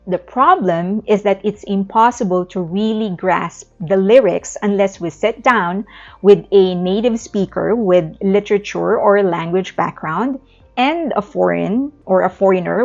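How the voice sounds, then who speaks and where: Filipino-accented woman, gravelly, thoughtful explainer; slightly dull recording with faint music under it. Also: mid-range voice. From Philippines. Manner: thoughtful, explanatory, with small restarts. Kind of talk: reflective video essay talk.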